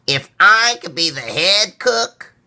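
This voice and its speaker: A man's voice: high-pitched, very animated, sharp tone, voice pushed up, full character voice. A man voicing a small male storybook duck who is eager, discontented and whiny. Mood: wistful. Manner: wailing, drawn-out delivery.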